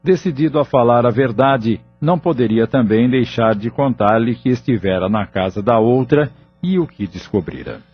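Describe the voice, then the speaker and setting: A man's voice: mid-range voice, expressive, moderate pace, hardly any pauses, husky. A man reading scripted narration for an audio novel as its narrator. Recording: muffled lo-fi audio, background music.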